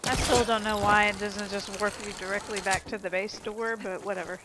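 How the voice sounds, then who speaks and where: A woman's voice: mid-range voice, steady tone, a little fast, noisy background. A woman doing casual let's-play commentary, chatting to herself as she plays. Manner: chatty, talks to herself while playing. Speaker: gameplay streamer. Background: video game music and sounds.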